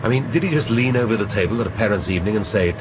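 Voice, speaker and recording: A man's voice: fairly low pitch, lively intonation, rapid-fire, noisy background, close to an everyday voice. An actor playing a weary, cerebral police inspector in a radio drama, clipped and cultured in his speech. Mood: deducing. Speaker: detective inspector.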